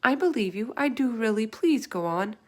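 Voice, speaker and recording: A woman's voice: on the high side, lively intonation, normal speed, voice pushed up, close to an everyday voice. A woman voicing a curious, polite, wide-eyed young girl in a storybook, with an eager, questioning manner. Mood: earnest, apologetic. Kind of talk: storybook read-aloud character voice.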